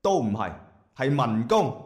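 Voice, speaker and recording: A man's voice: medium pitch, very animated, a little slow, a little mellow. Man acting brash young Cantonese slacker, echoey. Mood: aggrieved. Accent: Guangzhou Cantonese. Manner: cheeky, rambling, hesitant. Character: brash, clueless young jobless graduate man, milk-loving homebody. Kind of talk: comic internet radio drama acting. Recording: echoey room.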